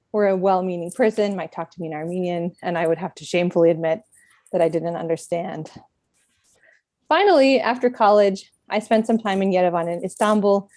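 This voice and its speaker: Reflective woman with a mellow voice. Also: mid-range voice, no room sound, clean studio sound. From United States. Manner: reflective, measured, with gentle self-deprecation. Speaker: speaker at a book event. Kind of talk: reading a prepared personal talk.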